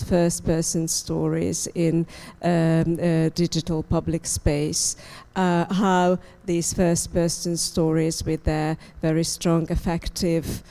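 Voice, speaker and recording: Woman critic on a panel, slow flat delivery, smooth warm voice, lots of uh. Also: lowish voice. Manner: measured, hesitant, with fillers and repeated words. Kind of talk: panel discussion.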